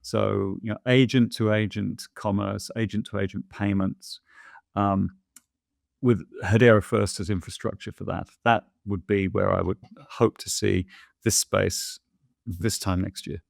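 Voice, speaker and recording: A man with a fairly low voice and a gravelly edge to it. He talks in a thoughtful, halting way, full of ums and restarts, like someone in a casual chat. The sound is close and dry. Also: fairly even intonation, no echo. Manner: thoughtful, hesitant, many fillers and restarts. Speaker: guest answering viewer questions. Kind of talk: casual conversational interview.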